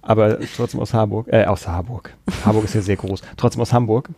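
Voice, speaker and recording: A man's voice: lowish voice, fairly even intonation, fairly quick, vocal fry, warm tone, husky, no echo. A man from Kiel in northern Germany chatting casually as the host of a conversation. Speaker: podcast host. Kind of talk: casual chat.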